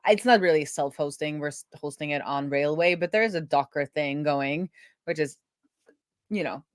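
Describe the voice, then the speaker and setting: A woman hosting a casual live coding stream, thinking out loud as she works and often trailing off and rephrasing. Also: deep voice, normal speed, dry recording, studio quality. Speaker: host, web developer live coding.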